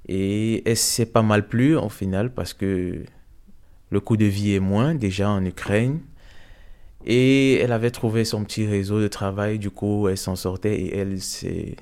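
A young man from Cameroon giving a hesitant, soft-spoken personal testimony in an interview, with many fillers. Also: on the low side, fairly even intonation, normal speed, clear, resonant tone.

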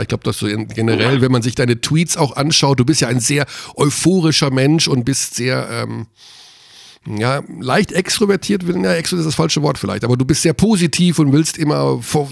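A man's voice: medium pitch, vocal fry, no room sound, clean studio sound. A man hosting a sports podcast interview, chatty and full of filler sounds. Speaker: podcast host.

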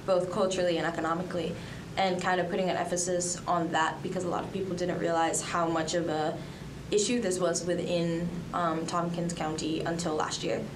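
A woman's voice: fairly low pitch, flat delivery, fairly quick. A young woman from the Dominican Republic and Colombia, a student journalist answering interview questions in a chatty way with many fillers. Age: young adult. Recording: echoey room, distant from mic, noisy.

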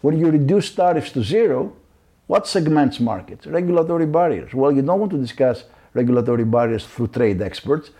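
A middle-aged man, a law professor, answering interview questions in an animated, talkative way with frequent asides. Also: on the high side, fairly quick, breathy edge.

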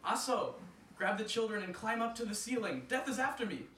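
A frantic, quick-witted spider father who shouts high and urgent, as a storyteller puts him on. The lines sit well above the narrator's own pitch. The sound is roomy, with the voice heard from a step away. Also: a little hiss or hum. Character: Anansi, frantic clever male spider father. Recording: distant roomy mic.

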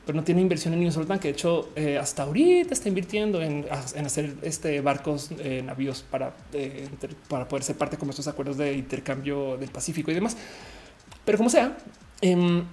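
A woman from Colombia hosts a livestream in a low, mellow voice. She talks casually and rambles, with many fillers and restarts. A faint hum of room noise sits behind her. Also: animated, brisk. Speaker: live show host. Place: lives in Mexico.

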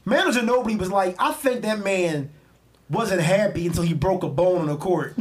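Philly podcast co-host, male, higher-pitched voice, animated, profane, storytelling. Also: normal speed. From Philadelphia. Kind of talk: casual chat.

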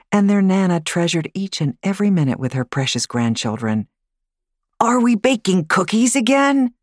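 A woman narrating an audiobook story in an expressive, measured storytelling style. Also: a bass voice, no room sound, studio quality. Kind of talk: scripted fiction narration, storytelling. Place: dry studio.

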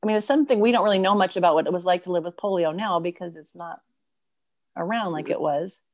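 A woman's voice: on the low side, fast talker. A woman hosting a podcast, bubbly and enthusiastic, rambling a little and apologizing as she asks her questions. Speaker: podcast host, former dancer. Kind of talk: casual remote interview.

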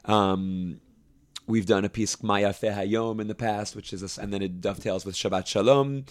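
A young man who directs a camp choir, explaining his work at length in a relaxed interview, with fillers and restarts. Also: on the low side, normal speed. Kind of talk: conversational interview.

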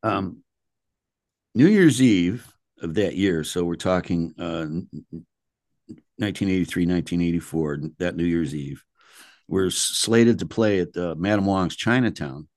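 Guy with a real low voice, rambly casual storytelling like a musician in an interview. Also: measured pace, darkish tone.

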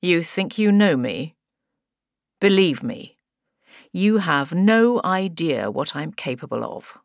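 Thriller villain, played by a woman narrator: cold, contemptuous man, lowish pitch, threatening, dry close sound. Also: expressive, slow pace, no room sound. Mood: cold menace. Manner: scornful, menacing. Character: cold, sneering menacing man, a killer.

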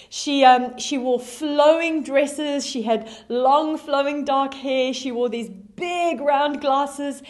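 A woman with a South African accent preaching a sermon, telling stories between frequent ums. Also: high-pitched. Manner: warm storytelling with frequent ums. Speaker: pastor.

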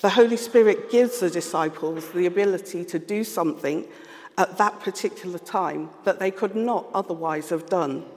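A man with a London accent preaching a sermon, earnest and measured, pausing often as he reflects. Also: high-pitched, a little mellow. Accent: London English. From London, England. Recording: long reverb of a large hall.

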